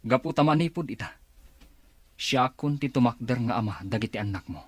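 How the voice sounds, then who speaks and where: A man's voice: mid-range voice, lively intonation, a little fast, slightly rough, dry recording. An actor playing the father of a family in a radio drama. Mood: stern. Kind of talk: Ilocano radio drama acting.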